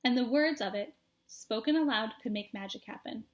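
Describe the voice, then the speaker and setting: A woman reads a children's story aloud. Her voice is high. She narrates expressively, addressing young listeners as a storyteller would. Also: normal speed. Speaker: storybook reader.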